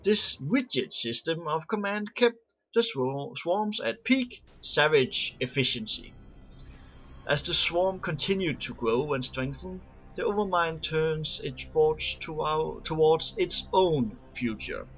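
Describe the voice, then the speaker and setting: A man's voice: on the high side, lively intonation, slow, pushed and bright, rough recording. A man reading scripted lore aloud as an amateur narrator, sometimes stumbling and repeating words. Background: music under the voice.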